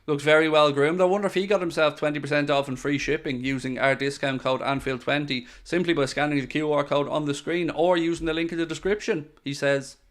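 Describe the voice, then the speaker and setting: Man, live match watchalong host, excitable commentary, jokey, smooth clear voice, slightly high. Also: brisk, few pauses. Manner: excitable, jokey, addresses viewers directly.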